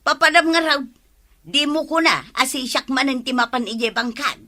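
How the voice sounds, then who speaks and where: High-pitched nagging Ilocano village wife. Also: female voice, normal speed, bright, forceful tone. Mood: scolding. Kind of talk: Ilocano radio comedy drama acting.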